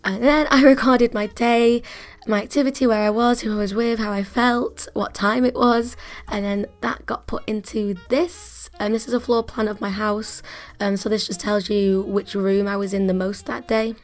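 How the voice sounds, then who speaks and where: A woman in her twenties with a croaky voice, chatting casually as an art student vlogger, often laughing at herself. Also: mid-range voice, fairly even intonation, normal speed. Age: 20s. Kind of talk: casual vlog narration.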